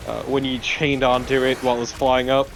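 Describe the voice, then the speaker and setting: A man with a medium-pitched voice chats casually as the second commentator in a gameplay session. Faint noise and game ambience sit behind his voice. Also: level tone, a little fast, vocal fry, low-quality recording. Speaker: let's play co-commentator. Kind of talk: casual chat.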